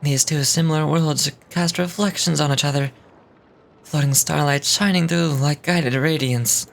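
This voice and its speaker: A woman's voice: low-pitched, steady tone, a little slow, gravelly, a little hiss or hum, pitched below the performer's own voice. A woman reading game dialogue aloud as a courteous, romantic male dreamweaver, formal and poetic in delivery. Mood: rapturous.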